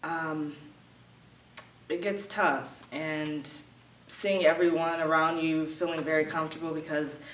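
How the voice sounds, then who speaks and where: A woman's voice: low-pitched, level tone, unhurried. A young adult woman, a college student, speaking candidly about herself in an interview. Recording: echoey, distant mic, faint noise.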